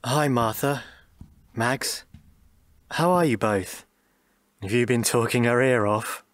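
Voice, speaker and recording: An actor playing a gentle, anxious husband in a radio drama, speaking in a hesitant, halting way. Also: male voice, medium pitch, natural voice. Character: gentle, patient, anxious husband pleading with his partner.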